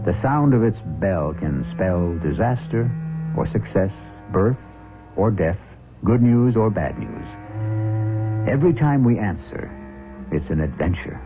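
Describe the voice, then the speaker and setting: A man hosting and narrating a 1970s radio mystery drama with dramatic, ominous, deliberate phrasing. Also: deep voice, sing-song, exaggerated voice. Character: grave male storyteller introducing a mystery. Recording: thin phone-like old radio broadcast, music behind. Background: music.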